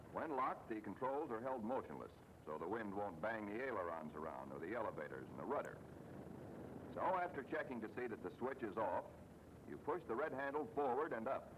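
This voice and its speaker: Animated man narrating a noisy old training film. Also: on the low side. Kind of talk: scripted instructional narration.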